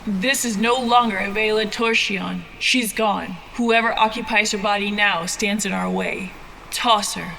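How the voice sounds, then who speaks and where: A woman playing an urgent, ruthless commander in a fantasy drama, tense, clipped and forceful. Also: mid-range voice, fairly even intonation, unhurried, vocal fry, slight background noise. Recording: long reverb over background ambience.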